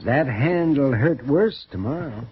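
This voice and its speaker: An excitable country doctor in a western radio play. His phrases are drawn out and his pitch swings widely, in a folksy way. The sound is dull old radio with faint noise. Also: slow pace, slightly rough, full character voice. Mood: grave. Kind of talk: 1950s western radio acting.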